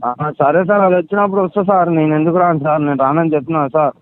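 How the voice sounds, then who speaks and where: A man with a Telangana accent talking on the phone in a deferential, defensive, pleading way. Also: very fast. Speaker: prank call target. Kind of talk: phone conversation. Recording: tinny low-fi phone line.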